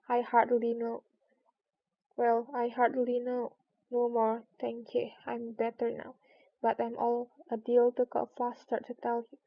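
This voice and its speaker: A woman voicing a feeble, dazed little male creature with a squeaking voice, in a storybook. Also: dry recording. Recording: dull lo-fi recording.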